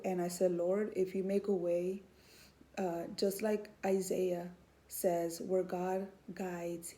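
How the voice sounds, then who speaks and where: A woman's voice: fairly low pitch, mellow. A woman in her thirties from Houston, Texas, giving an earnest personal faith testimony, hesitant with many ums. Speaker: student and mother.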